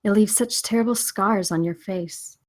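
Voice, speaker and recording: A woman's voice: medium pitch, moderate pace, darkish tone, natural voice. A woman playing a bruised, teasing, familiar drifter in a scripted noir drama table read. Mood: teasing, tender.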